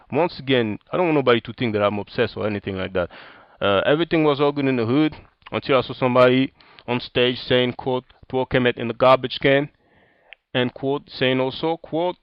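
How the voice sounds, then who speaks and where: A man's voice: mid-range voice, no echo, dull audio. Sarcastic man with a French accent. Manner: sarcastic, combative, loose street slang. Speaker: video commentator. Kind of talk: casual commentary reading from a book and website.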